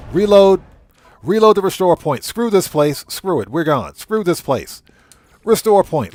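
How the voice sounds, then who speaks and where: A man with a somewhat high voice hosts a casual gameplay livestream. His intonation swings widely as he comments on play, speaking in exclamations and repeating short phrases for emphasis. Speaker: livestream host.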